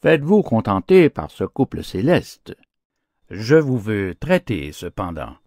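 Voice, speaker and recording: A man's voice: on the low side, big pitch swings, a little slow, darkish tone, no echo, clean studio sound, heavily acted. A man voicing a stingy athlete in a fable, grandly courteous and declamatory as he brushes someone off. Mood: mocking courtesy. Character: boastful stingy athlete, falsely genial, dismissing a poet. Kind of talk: theatrical fable reading.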